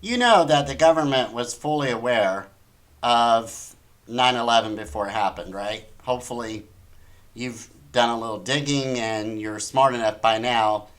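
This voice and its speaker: Male talk host, mid-pitched, emphatic and incredulous, riffing on news with pointed rhetorical questions. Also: a little slow, a bit bright. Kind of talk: opinionated news commentary, reading articles aloud.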